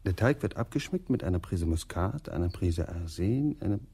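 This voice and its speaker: An actor playing a deferential butler in a radio play, with a husky, formal voice. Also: male voice, sits low, lively intonation, normal speed, warm tone, exaggerated voice.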